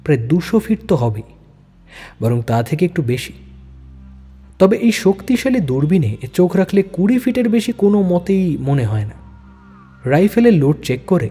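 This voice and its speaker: A man giving a dramatic scripted narration of a suspense story, with deliberate phrasing. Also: a little high, warm tone. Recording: long reverb, background music.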